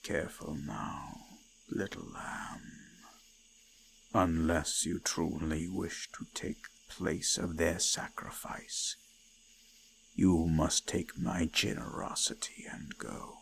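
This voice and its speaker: An ancient eldritch god of the forest, solemn and possessive, murmurs in a deep, gravelly voice full of creak. The delivery is extremely slow and formal, often slipping into breathy whispers, as in an intimate horror roleplay. There is some noise behind the voice. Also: male voice, flat delivery, fairly bright tone, full character voice. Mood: stern. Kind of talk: scripted roleplay audio, intimate ASMR.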